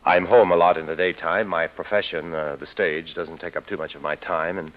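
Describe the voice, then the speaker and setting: An actor playing an earnest, insistent man with a measured, polite, slightly hesitant manner in a vintage radio drama. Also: low-pitched, fairly even intonation, natural voice. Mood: earnest, uneasy. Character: earnest, insistent stage actor worried by what he saw. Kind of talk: 1940s-50s radio drama acting. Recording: muffled vintage radio recording.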